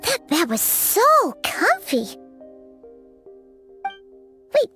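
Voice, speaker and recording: A woman's voice: extremely high pitch, wildly swooping pitch, measured pace, fairly bright tone, soft background music, exaggerated voice. A woman voicing a small, cheerful, blunt fairy companion in a video game, exclamatory and speaking of herself in the third person. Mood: chirpy.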